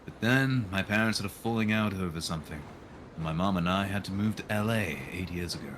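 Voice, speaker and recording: A man's voice: sits low, a little fast, noisy background. A man streaming a visual novel, reading it aloud and dropping in dry, irreverent asides. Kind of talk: live read-aloud of a game with casual joking asides.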